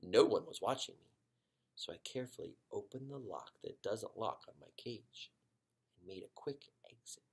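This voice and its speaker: A man reads a children's story aloud in a fairly low voice. He is expressive, stretching key words and leaving dramatic pauses. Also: gravelly, slight background noise. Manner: dramatic pauses, drawn-out emphasis.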